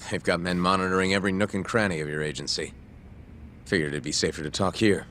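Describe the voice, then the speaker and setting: An actor voicing a stern, dry, formal prosecutor in an English game dub. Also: male voice, low voice, steady tone, quick pace, a bit bright, slight background noise. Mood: dry, guarded. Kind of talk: dubbed video game cutscene acting.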